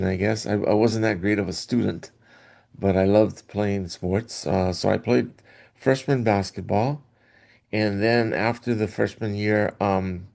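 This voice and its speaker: A middle-aged man from Hawaii, a guest in an interview, telling his story in a rambling way with restarts and soft laughs. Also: on the low side, vocal fry. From Hawaii, Oahu. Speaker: guest, athletic director association executive. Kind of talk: casual interview talk.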